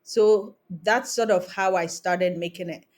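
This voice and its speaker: A woman in her thirties from Ghana, a guest on a remote podcast interview, telling her career story in a measured way with frequent ums. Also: mid-range voice, steady tone, darkish tone. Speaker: guest, cosmetics founder. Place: home in Accra, remote call. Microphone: remote call recording.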